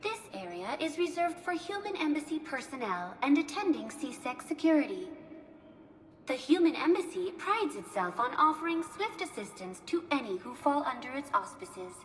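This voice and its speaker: A woman's voice: high voice, sing-song, moderate pace. A woman voicing a polite, formal virtual assistant giving information at an embassy in a sci-fi game. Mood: courteous, formal. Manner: formal, courteous, precisely scripted. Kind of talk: scripted information-desk announcements in a sci-fi game.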